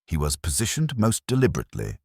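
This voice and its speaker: A man's voice: low voice, wildly swooping pitch, fast, gravelly, a little mellow, husky, dry recording, clean studio sound, heavily acted. A man voicing a self-important, gossipy lady in an audiobook, telling her tale formally and with theatrical relish.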